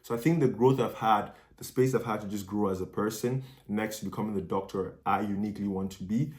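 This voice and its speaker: A young man in his twenties speaks straight to his viewers, quick and earnest, with a lowish voice. He shares his experience as a medical student and encourages them directly, in an upbeat, advice-giving way. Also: darkish tone. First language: not Dutch. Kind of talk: casual vlog to camera.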